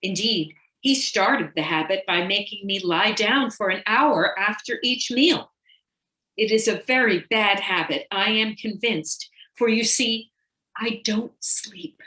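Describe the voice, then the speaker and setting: A woman acts out a Victorian wife from a short story, confiding and fixated, edging into paranoia. She speaks very slowly and dramatically, leaving loaded pauses. The room around her rings with echo. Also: medium pitch, sharp tone. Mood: fixated, secretive. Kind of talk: dramatic first-person short story reading.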